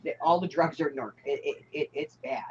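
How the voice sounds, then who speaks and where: A woman's voice: low voice, quick pace, a little mellow. A woman from New Jersey telling stories in a casual chat, wry and joking. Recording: dull lo-fi sound with faint noise.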